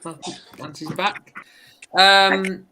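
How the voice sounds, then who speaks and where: Man, podcast host, bright sharp tone, higher pitch, fumbling and hesitant. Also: fairly even intonation, extremely slow, slight background noise, poor audio. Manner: hesitant, fumbling guest names. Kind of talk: casual podcast hosting.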